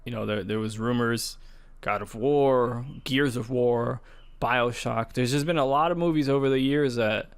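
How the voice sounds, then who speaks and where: A man's voice: mid-range voice, moderate pace, smooth. A man co-hosting a podcast in casual, conversational gaming chat. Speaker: podcast co-host.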